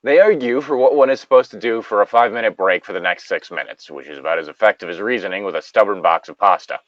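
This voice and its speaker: A man with a fairly low voice narrates a story and keeps slipping into casual commentary. He rambles and jokes, swearing freely. The sound is very dry and slightly muffled. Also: fast, a bit bright, dry recording. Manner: casual, joking, rambling asides. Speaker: reader and commentator of online stories. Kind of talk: reading a story aloud with casual, profane commentary.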